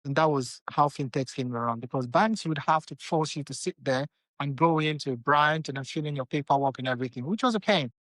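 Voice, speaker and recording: A man's voice: a little high, a bit bright, no room sound, low-quality recording. Chatty man, dry close sound. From Nigeria. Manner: conversational, checks in with "okay?" and "right?". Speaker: company founder and CEO. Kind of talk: casual money-advice talk.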